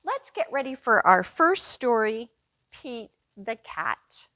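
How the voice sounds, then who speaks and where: A woman reads to young children as a storytime librarian. Her delivery is extremely unhurried and deliberate, with lively swings in pitch. She sounds singsong and instructional, guiding little listeners along. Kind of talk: slow read-aloud storytime for young children, with sung refrains and action rhymes.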